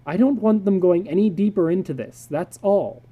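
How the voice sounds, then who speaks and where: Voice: mid-range voice, normal speed, phone-quality sound, pitched above the performer's own voice, close to an everyday voice. A reader voicing a blunt, worldly male psychologist in a dramatic story reading, speaking emphatically and with dry amusement. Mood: emphatic, admonishing.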